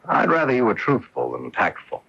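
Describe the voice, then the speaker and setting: An actor playing an earnest, wistful professional golfer in a radio mystery drama, with a husky voice. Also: male voice, mid-range voice, huge pitch swings, fairly quick, a bit bright, full character voice. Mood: affectionate, earnest. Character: wistful, earnest male professional golfer recalling his wife. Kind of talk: radio mystery drama acting, narration and dialogue. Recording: dull lo-fi AM radio broadcast.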